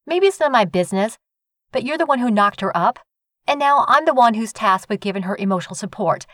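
A man voicing a brash, sharp-tongued young woman neighbor in an audiobook, scolding and sarcastic. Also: high-pitched, expressive, fast, no room sound, clean studio sound, pitched above the performer's own voice. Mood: indignant. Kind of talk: audiobook reading.

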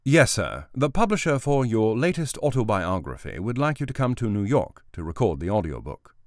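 A man's voice: on the low side, very animated, normal speed, no echo, clean studio sound, voice pushed up. A man voicing a dutiful male personal assistant who speaks formally and politely. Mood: deferential.

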